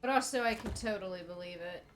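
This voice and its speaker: A woman's voice: mid-range voice, quick pace, slight background noise. A young woman streaming a game, congested and stuffy-nosed, chatting casually and laughing and swearing freely. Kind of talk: casual gameplay chat.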